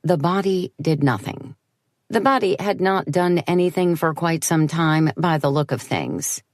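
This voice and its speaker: A woman's voice: low-pitched, animated, a little slow, no room sound, clean studio sound. A woman reading first-person horror fiction as an audiobook narrator, in a wry, conversational storytelling way.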